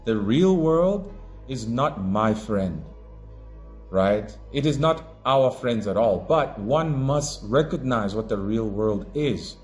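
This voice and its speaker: A man from Africa giving an impassioned monologue as an online commentator, preachy and emphatic, swinging between raised declarations and low muttering. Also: darkish tone.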